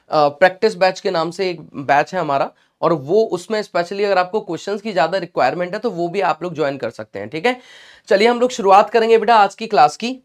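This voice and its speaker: Hoarse man teaching a live class. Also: high-pitched. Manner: chatty and encouraging, mixes English phrases, addresses students as beta. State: sore throat, clearing it often. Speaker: online exam-prep teacher. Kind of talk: live online class, casual Hindi-English teaching.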